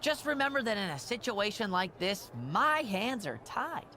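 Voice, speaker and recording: A man's voice: sits high, big pitch swings, normal speed, pushed and bright, slight background noise, full character voice. An actor playing a nervous, fawning male wrestling manager who rambles anxiously in a video game cutscene. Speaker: wrestling show official.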